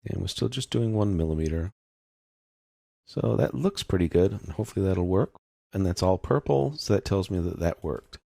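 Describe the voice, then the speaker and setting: A man narrating a step-by-step software tutorial as an instructor, explaining in an informal, unscripted way. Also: deep voice, animated, moderate pace, creaky, no echo, clean studio sound.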